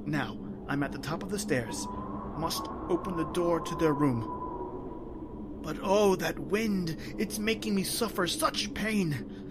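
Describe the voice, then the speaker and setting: An actor playing a tormented, invisible male spirit in an old-time radio horror play, with dramatic, anguished, halting delivery. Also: fairly high pitch, noisy background. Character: tormented invisible male spirit wandering his haunted house.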